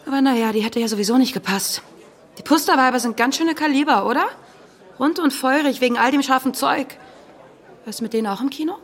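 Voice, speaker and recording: Cheeky, high-voiced young barmaid. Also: female voice, fast. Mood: teasing. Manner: pert, teasing, drops into whispers. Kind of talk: German radio play acting.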